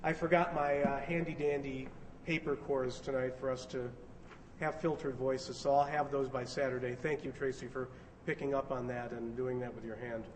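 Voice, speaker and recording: A man's voice: medium pitch, flat delivery, moderate pace, a little hiss or hum. A man directing a radio play, giving casual rehearsal notes to his cast from some way off in an echoey hall. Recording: echoey hall, distant, music behind.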